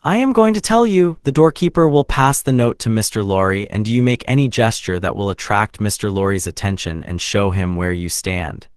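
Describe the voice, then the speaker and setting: A man voicing an elderly, formal bank clerk who instructs and admonishes briskly, in an audiobook. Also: on the low side, animated, clean tone, no echo, clean studio sound, close to an everyday voice. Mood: instructing.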